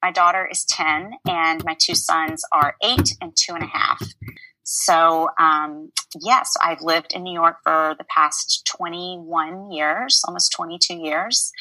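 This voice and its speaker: A woman in her forties from Memphis, a writer talking as a podcast guest over a video call, chatty and pausing often with ums. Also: sits low, steady tone, pushed and bright, no room sound, poor audio. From Memphis, Tennessee. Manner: chatty, hesitant with many ums. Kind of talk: interview conversation.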